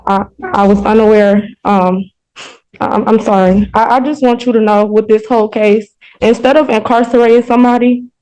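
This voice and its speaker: An American woman addressing a judge in court, speaking haltingly, apologetic but insistent and repeating herself. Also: fairly high pitch, steady tone, darkish tone, rough recording. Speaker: member of the public addressing a court. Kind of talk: spontaneous plea to a judge.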